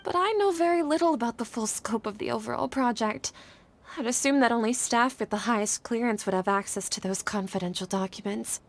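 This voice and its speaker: A woman's voice: on the high side, a little fast, natural voice. An actress playing an anxious, guilt-ridden young woman scholar in a video game, speaking earnestly and formally. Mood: earnest, resolved. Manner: earnest, troubled, formal wording. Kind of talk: dramatic video game voice acting.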